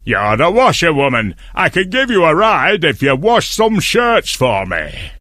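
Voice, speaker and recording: A man's voice: fairly high pitch, wildly swooping pitch, a little slow, bright, forceful tone, no echo, studio quality, voice pushed up, full character voice. A man voicing a kind, serious train driver in a storybook, with an emphatic, theatrical delivery. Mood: kindly.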